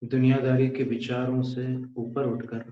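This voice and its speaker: A man with an Indian accent leading a guided meditation, speaking calmly and deliberately with pauses. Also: medium pitch. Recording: echoey room, dull muffled sound.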